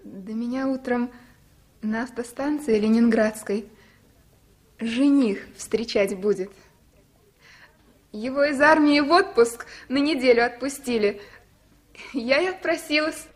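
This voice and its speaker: A woman's voice: a little high, measured pace, long pauses, natural voice. A woman playing an earnest, resolute young woman in a 1960s radio drama, sincere and helpful. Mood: shy, explaining. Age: young adult.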